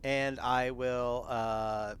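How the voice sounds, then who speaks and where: A man's voice: mid-range voice, fairly even intonation, very slow. A man with a mid-range voice talks casually as a player in a tabletop game. Faint noise sits behind his voice.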